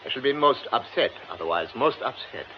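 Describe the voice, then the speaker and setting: An actor playing a courteous, insistent gentleman who speaks formally and stammers as he begs someone to come with him. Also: mid-range voice, lively intonation, a little fast, lightly acted. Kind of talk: radio drama acting. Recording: muffled old radio play with faint hiss.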